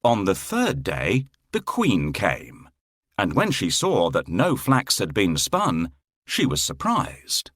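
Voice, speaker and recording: A man narrating a children's fairy tale in an expressive, theatrical, deliberate way. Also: lowish voice, no room sound, soft background music. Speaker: storyteller.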